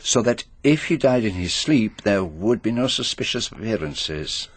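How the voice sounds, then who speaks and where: An actor playing a courteous, shrewd Belgian detective with a French-speaking accent, questioning formally and deliberately in a radio mystery drama. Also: male voice, lowish voice, expressive, dry recording. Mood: methodical questioning.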